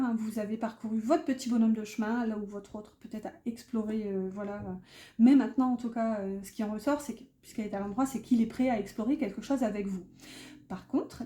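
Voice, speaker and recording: A woman's voice: fairly high pitch, warm tone. A woman giving a casual, improvised tarot reading to viewers, chatty and often searching for her words. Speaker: tarot reader hosting an online guidance. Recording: roomy, echoey sound.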